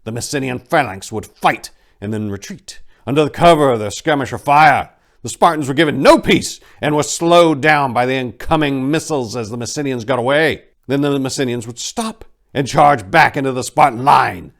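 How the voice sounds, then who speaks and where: A man narrating a story in a dramatic, theatrical way, with deliberate phrasing and emphatic swells. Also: medium pitch, creaky, fairly bright tone. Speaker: podcast host and narrator.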